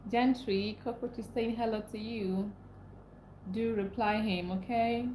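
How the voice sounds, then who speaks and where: A woman's voice: fairly high pitch, steady tone, measured pace, a little mellow, a little hiss or hum. Chatty Nigerian woman livestreaming in an echoey room. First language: Igbo. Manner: chatty, repeats herself, laughs often. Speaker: livestream host. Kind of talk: casual livestream chat reading comments. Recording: echoey room, faint ambience.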